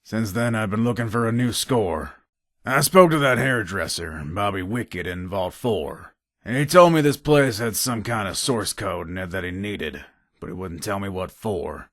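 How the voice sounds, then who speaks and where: A man's voice: lowish voice, expressive, normal speed, a bit bright, no room sound, studio quality. An actor playing a shrewd, businesslike gun trader in a video game, talking in casual, hard-bargaining salesman patter. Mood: businesslike, guarded. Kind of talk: video game character dialogue.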